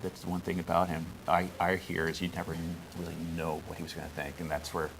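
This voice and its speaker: A man's voice: low voice, little pitch movement, fast talker, over music, low-quality recording. A man in a casual interview, rambling and breaking off his sentences as he thinks aloud. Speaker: museum interviewee. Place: on location in a museum. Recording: clear ambient noise on location.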